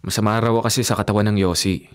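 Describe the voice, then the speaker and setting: An actor with a Filipino accent playing a devoted, soft-hearted young husband in a radio drama, speaking earnestly and gently. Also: male voice, on the low side, fairly even intonation, fast, close to an everyday voice. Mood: gently coaxing. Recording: music bed behind dialogue.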